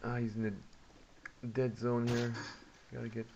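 A man giving casual gameplay commentary, muttering to himself in a halting way. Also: medium pitch, monotone. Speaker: video game player. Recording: muffled, noisy home recording.

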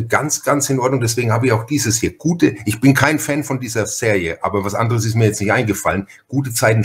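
A man hosting a casual podcast conversation, talkative, halting and often repeating his words. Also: fairly low pitch. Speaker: podcast host.

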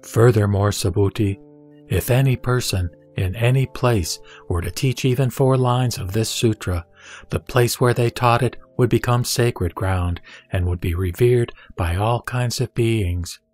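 A man's voice: on the low side, unhurried, a little mellow, faint music behind. A man playing the Buddha in a scripture audio drama, a serene teacher speaking formally and instructively. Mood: solemn questioning. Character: the Buddha, serene authoritative male teacher addressing his disciple.

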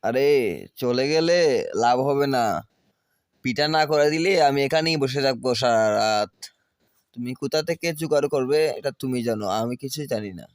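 Cartoon village husband, played by a man: mid-pitched, grumbling, demanding cowherd, dry recording. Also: moderate pace, smooth, dry recording, natural voice. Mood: stubborn. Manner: complaining, demanding. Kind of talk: cartoon voice acting.

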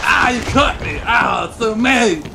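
A man acts out a dim, greedy monster from a comic game parody. The voice sits high and gets shouty and blunt, with a goofy menace. Music plays clearly behind it. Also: animated, a little slow, full character voice. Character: dim jelly-craving zombies and monster, whiny and menacing. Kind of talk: comedic game parody dub.

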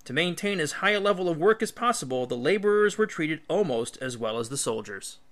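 A man's voice: on the high side, lively intonation, a little fast, fairly bright tone. A male teacher reading an illustrated history book aloud, with emphatic, instructional phrasing.

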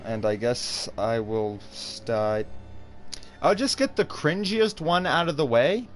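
A man's voice: mid-range voice, expressive, takes it slowly. A man chatting casually on a live game stream in a reluctant, self-deprecating way. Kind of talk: casual live-stream chat while gaming. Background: fighting game music.

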